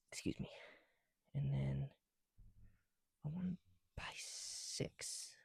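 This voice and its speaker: A soft-spoken man chatting casually in an ASMR style, often dropping into whispers, with a creaky voice and a rambling, halting delivery, close to the mic. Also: mid-range voice, fairly even intonation, no room sound. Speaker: ASMR video creator. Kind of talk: casual ASMR chat while building bricks.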